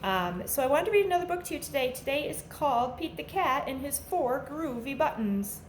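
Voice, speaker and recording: A woman reads to young children in the manner of a teacher, with a high voice that swings widely up and down. She takes her time and speaks to her listeners directly in simple phrases. The room is noticeably echoey. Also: expressive, fairly quick. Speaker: teacher reading a picture book to young children. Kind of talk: children's read-aloud, with sung refrains and asides to listeners. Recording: echoey room with faint background noise.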